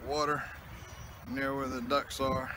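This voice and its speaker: A man hosting a casual vlog outdoors by a pond, talking slowly and plainly with a teasing edge. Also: medium pitch, little pitch movement. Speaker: host, paranormal investigator. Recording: loud outdoor background noise.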